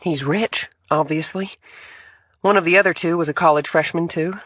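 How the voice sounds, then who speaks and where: A man voicing a sharp-tongued, fiery woman federal agent, clipped and angry. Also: fairly high pitch, steady tone, moderate pace, dry recording, muffled recording, voice pushed up. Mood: urgent.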